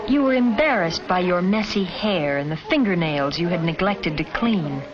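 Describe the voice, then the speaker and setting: A woman's voice: on the low side, expressive, normal speed, a crowd in the background. A woman reading scripted narration for a 1950s educational film, addressing the listener directly in an admonishing way. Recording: dull vintage film soundtrack with music. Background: film score music.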